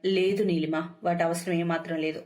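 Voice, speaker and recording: A woman voicing a self-sacrificing eldest sister near forty in a story, speaking with calm restraint. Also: lowish voice, moderate pace, pitched below the performer's own voice, close to an everyday voice. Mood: quietly firm.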